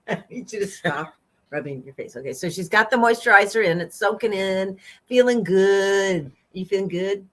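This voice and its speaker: A woman, talking casually through a makeup demonstration with lots of laughter and gentle instructions. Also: mid-range voice, normal speed. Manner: chatty, giggly, gently bossy. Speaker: makeup artist.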